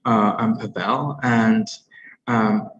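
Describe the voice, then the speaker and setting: A man's voice: fairly low pitch, steady tone, slow, a bit bright, roomy sound. A young man from Bangladesh, a postgraduate student, speaking modestly and hesitantly with many fillers as a panelist on a video call. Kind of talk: online panel talk. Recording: dull lo-fi call audio with faint noise.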